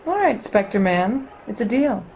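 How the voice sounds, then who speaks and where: A woman's voice: medium pitch, big pitch swings, unhurried, darkish tone, a little hiss or hum. An actress playing a tender, concerned woman in love, in a radio play. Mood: skeptical.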